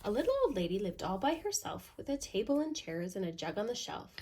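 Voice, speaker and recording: A woman reading a children's picture book aloud in an expressive storytime style. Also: mid-range voice, a little fast, a little hiss or hum. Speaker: storytime reader.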